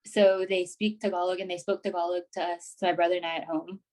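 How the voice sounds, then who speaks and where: A young woman in her twenties chatting as a podcast guest, her voice sitting a bit low for a woman. She talks easily and informally, leaning on "like" and "you know" as she goes. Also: flat delivery, fast, darkish tone. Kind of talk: casual interview chat.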